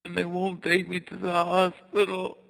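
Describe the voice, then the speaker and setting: A man's voice: sits high, monotone, normal speed, vocal fry, a bit bright. A young American man, weak and tearful, pleading on a recorded jailhouse phone call. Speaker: jail inmate on a phone call.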